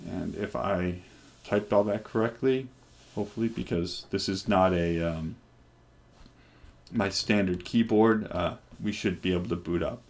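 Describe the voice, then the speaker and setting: Low-voiced man rambling through a tech demo. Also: measured pace. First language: English. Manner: relaxed, rambling, many ums and restarts. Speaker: developer presenting hardware. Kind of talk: casual screen-recorded tech demo. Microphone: headset microphone.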